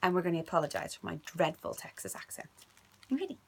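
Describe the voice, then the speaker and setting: A woman's voice: fairly low pitch, fast talker, creaky, breathy edge. Sing-song woman reading a storybook. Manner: sing-song, playful, animated. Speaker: parent reading to a child. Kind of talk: reading a picture book aloud.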